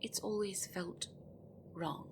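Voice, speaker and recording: A woman's voice: lowish voice, steady tone, slow pace, background noise. A woman playing an anxious, desperate female gryphon in an audio roleplay, speaking in a hesitant, deferential, pleading way. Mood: hesitant, wistful.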